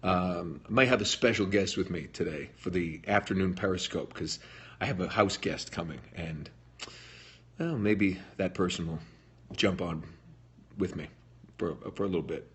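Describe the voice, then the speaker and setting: A man's voice: sits low, moderate pace, husky. A middle-aged man hosting a casual live stream, chatty and jokey, reading fan comments aloud and answering them. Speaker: livestream host answering fan questions. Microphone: phone livestream.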